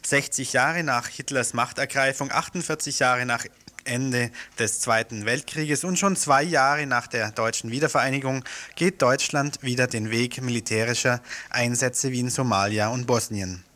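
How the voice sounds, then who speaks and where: A man presenting a local radio info show, reading event notices and an appeal in an earnest, steady way with small slips. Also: mid-range voice, normal speed. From Germany.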